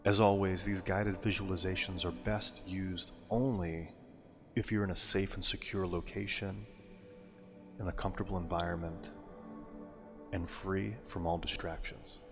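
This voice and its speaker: Male meditation narrator, very low register, calming and unhurried, pausing between phrases, drenched in long reverb. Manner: soothing, unhurried, deliberate phrasing with pauses. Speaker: hypnosis and meditation guide. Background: music.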